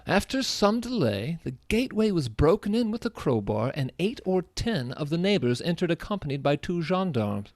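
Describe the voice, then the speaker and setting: An excitable gentleman sidekick to a detective in a radio play. His fairly high voice swoops expressively with theatrical emphasis, recorded close and dry. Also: moderate pace, hardly any pauses, dry recording. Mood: dramatic reading. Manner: theatrical, emphatic newspaper-reading delivery. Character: astonished gentleman companion to a brilliant detective. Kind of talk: live radio drama acting.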